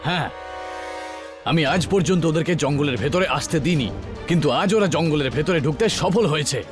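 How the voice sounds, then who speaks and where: A man's voice: a little high, a little fast, over music, natural voice. A man voicing an earnest, protective forest guardian in a children's cartoon, explaining firmly.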